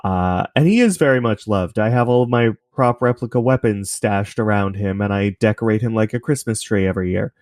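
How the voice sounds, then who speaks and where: A man's voice: on the low side, a little fast, hardly any pauses, darkish tone, no room sound, studio quality. A man from Canada talking casually as an interview guest and telling anecdotes. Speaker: guest, podcast creator.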